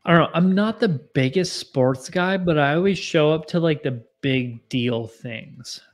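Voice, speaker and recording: A man's voice: fairly high pitch, unhurried. Chatty rambling young man at home bar. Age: 20s. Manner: rambling, self-deprecating, chatty. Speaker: livestream host. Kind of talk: casual live chat with viewers. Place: home bar with bottle shelves, indoors. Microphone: boom mic in front of face.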